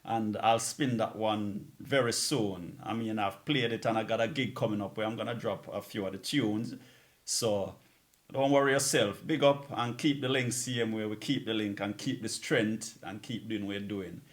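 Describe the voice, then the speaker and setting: Low-voiced man hosting a music show. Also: steady tone, moderate pace. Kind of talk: casual radio DJ chat between tracks.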